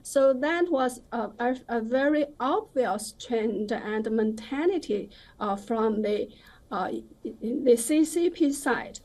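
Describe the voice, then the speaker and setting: A woman giving opinionated commentary as a guest in a live news interview, with halting, hesitant phrasing. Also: on the high side, expressive, a little mellow, low-quality recording.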